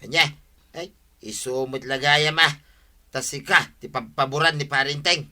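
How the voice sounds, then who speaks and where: Stubborn aggrieved village man, played by a male actor, Ilocano accent, piercing bright tone, raised pitch, scolding, radio comedy. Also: normal speed. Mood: indignant.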